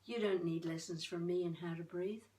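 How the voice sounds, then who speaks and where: An older woman giving an informal voice-coaching lesson to camera in an expressive, chatty, encouraging way with playful asides. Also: fairly low pitch, normal speed. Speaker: voice coach presenting a tutorial. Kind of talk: informal instructional talk to camera. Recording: echoey room, distant microphone.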